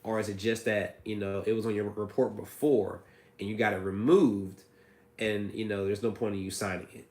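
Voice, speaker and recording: Raspy-voiced man hosting a credit Q&A stream. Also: fairly low pitch, flat delivery, moderate pace, a little mellow, echoey room. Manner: reads viewer comments aloud, chatty and loose. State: hoarse, recovering from a cold. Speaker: credit repair host answering viewer questions. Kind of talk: casual livestream Q&A.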